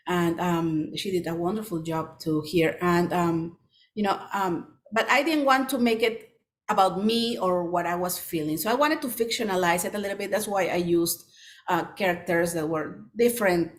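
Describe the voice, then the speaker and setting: A middle-aged woman children's author from Peru, with a Peruvian Spanish accent, giving a presentation with many pauses and restarts. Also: mid-range voice. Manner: frequent ums and restarts, earnest. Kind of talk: presentation talk.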